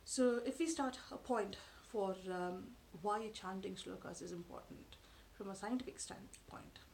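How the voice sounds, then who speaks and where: A woman in her thirties whose first language is Tamil, talking to camera from a sofa in her living room in a measured, thoughtful way with frequent pauses. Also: mid-range voice, creaky, darkish tone, a little hiss or hum, poor audio. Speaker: vlogger. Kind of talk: explanatory talk to camera. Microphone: camera in front at close range.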